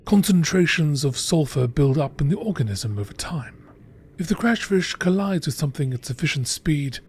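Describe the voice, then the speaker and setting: A man reading scripted text in a measured, deliberate nature documentary style. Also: a little high, a little mellow. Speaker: narrator reading game text aloud. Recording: clearly audible background noise.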